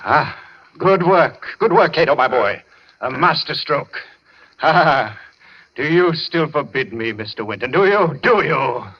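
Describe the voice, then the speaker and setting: An actor playing a suave, menacing mad doctor with formal, theatrical manners in a radio horror drama. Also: male voice, on the high side, lively intonation, measured pace, slightly rough. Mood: gloating, manic. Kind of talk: old-time radio horror acting. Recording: dull, band-limited radio drama recording.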